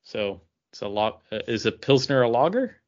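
A man co-hosting a podcast in casual chat, speaking in a hesitant, halting way. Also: medium pitch, big pitch swings, highs cut off. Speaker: podcast co-host. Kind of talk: casual chat, beer review.

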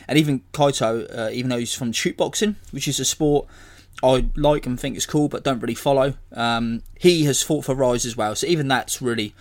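A hoarse man, hosting a casual solo podcast and rambling as he corrects himself. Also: medium pitch. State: hoarse from illness. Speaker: podcast host.

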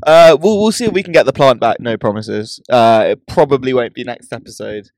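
Bloke co-hosting a pod, chatty and emphatic, keeps restarting, mid pitch, dry close sound. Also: male voice, a little fast, dry recording. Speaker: podcast co-host. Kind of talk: casual chat.

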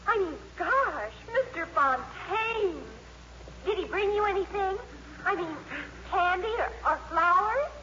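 An actress playing an excitable, nosy teenage girl in a 1950s radio drama. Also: very high-pitched, slow, heavily acted. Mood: excited, prying. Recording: muffled, reverberant, very noisy old radio.

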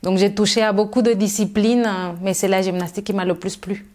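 A woman's voice: medium pitch, fairly even intonation, a little fast, darkish tone. Hesitant woman from Mexico. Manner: thoughtful, many fillers and restarts. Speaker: guest. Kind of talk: interview answers.

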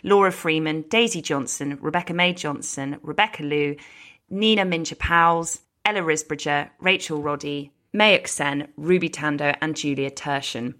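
A woman's voice: low-pitched, normal speed, fairly bright tone. A woman with a British accent, co-hosting a podcast in a casual, conversational style. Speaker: podcast host.

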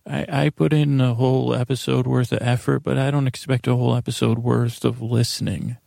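A man in his forties hosting a bedtime podcast, rambling haltingly and interrupting himself in a self-deprecating monologue. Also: medium pitch, level tone, vocal fry, mellow, dry recording, clean studio sound. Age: 40s. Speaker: podcast host.

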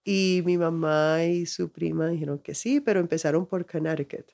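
Middle-aged woman being interviewed, kinda low pitched, dark warm sound, thoughtful and chatty. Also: normal speed, gravelly. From United States. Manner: reflective, frequent restarts and laughs. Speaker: guest. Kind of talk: personal storytelling in an interview.

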